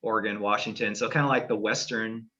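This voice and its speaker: A man's voice: lowish voice, fairly even intonation, a little fast, sharp tone, highs cut off. A middle-aged man from California, a guest astrologer, talking casually and meandering with fillers and restarts on a podcast.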